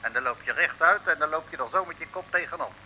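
A man's voice: a little high, fast talker, pushed and bright, low-quality recording. An actor playing a matter-of-fact, officious Dutch policeman in an old comic radio serial. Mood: officious, dry. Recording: old radio recording with faint noise.

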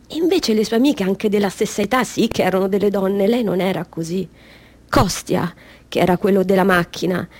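A woman's voice: medium pitch, natural voice. An actress playing a naive, insecure young woman in a radio drama, telling her memories in simple, girlish, run-on sentences.

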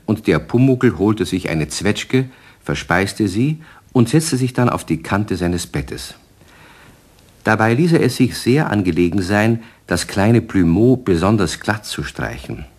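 A man narrating a children's radio play in composed, scripted storybook language with a husky voice. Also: low-pitched, full character voice.

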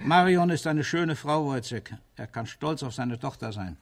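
A man in a German radio drama playing a weary, sardonic middle-aged general and party boss with a husky voice and dry, condescending delivery. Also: medium pitch, animated, a little slow. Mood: commanding.

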